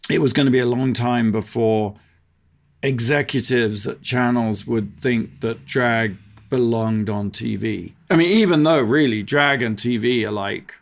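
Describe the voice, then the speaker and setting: A man's voice: medium pitch, a little slow, creaky. A man talking casually as a guest in an interview. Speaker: podcast guest, TV production company co-founder.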